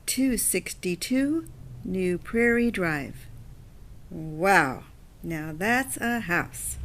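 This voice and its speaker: A woman's voice: mid-range voice, slight background noise. A woman playing a blunt, independent blue-collar plumber with a casual, dry manner in an audio drama. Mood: musing.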